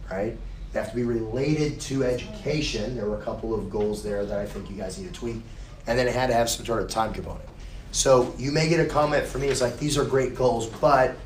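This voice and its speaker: A hoarse man, still getting his voice back, gives a casual, reassuring college class lecture. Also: medium pitch, roomy sound, a little hiss or hum. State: hoarse, recovering lost voice. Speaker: college instructor.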